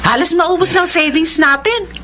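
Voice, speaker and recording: A woman's voice: very high voice, moderate pace, background noise. An actress playing a worried mother of an adult son, fretting over money, in Filipino radio soap style. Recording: radio drama with music underneath.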